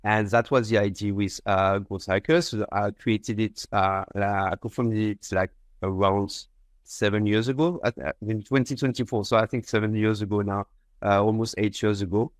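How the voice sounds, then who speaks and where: A man's voice: on the low side, steady tone, normal speed, no room sound. A man from France with a French accent, explaining his business conversationally as an interview guest. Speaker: company founder, podcast guest.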